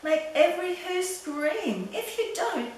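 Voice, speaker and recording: A woman voicing a gentle, earnest male elephant in a storybook, pleading and exclaiming urgently. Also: very high-pitched, expressive, slow, pitched above the performer's own voice, full character voice. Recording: roomy echo, distant mic, faint noise.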